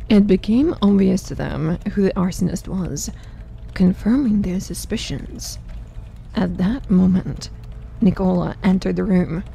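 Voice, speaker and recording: Low, creaky woman narrator. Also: measured pace, dark tone, slight background noise, poor audio. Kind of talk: scripted narration of an audio drama.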